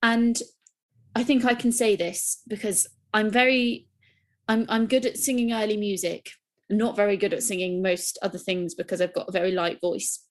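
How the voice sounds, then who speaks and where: A woman's voice: medium pitch, steady tone, normal speed. Chatty young woman. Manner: enthusiastic, rambling with restarts. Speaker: podcast co-host. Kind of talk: casual chat.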